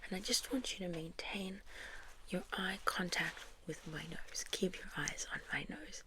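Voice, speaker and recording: Slow, whispering South African woman. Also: fairly low pitch, expressive, rough recording. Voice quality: soft, breathy whisper. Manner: gentle, reassuring step-by-step instructions. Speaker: ASMR creator playing a medical examiner. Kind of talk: soft-spoken whispered ASMR medical roleplay.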